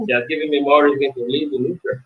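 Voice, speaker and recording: Man on a tinny call line. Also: mid-range voice. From Nigeria. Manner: friendly, relaxed, many "you know" and "uh" fillers. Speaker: guest. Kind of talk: casual interview.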